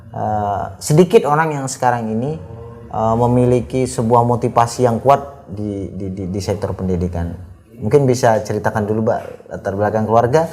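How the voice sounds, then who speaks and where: A young man from Aceh hosting a casual podcast interview, friendly and rambling with many fillers. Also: medium pitch. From Aceh, Indonesia. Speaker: podcast host.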